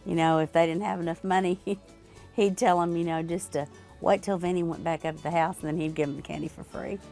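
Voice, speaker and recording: An older woman from Arkansas talks about her family store in an interview. Her voice sits fairly low. She reflects slowly and halts often, with many fillers. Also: steady tone, a little mellow, faint music behind.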